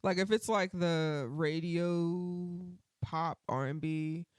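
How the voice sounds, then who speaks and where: Chill singer lady, low alto voice, easygoing, dry close mic. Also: takes it slowly, clear, resonant tone, dry recording. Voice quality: deep alto voice. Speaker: guest singer. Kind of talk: casual interview chat.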